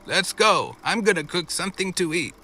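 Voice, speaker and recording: A man voicing an elderly grandmother in a scary story, slow, sweet, cooing and eagerly affectionate, over a noisy track. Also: sits high, lively intonation, pushed and bright, pitched above the performer's own voice. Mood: cheerful.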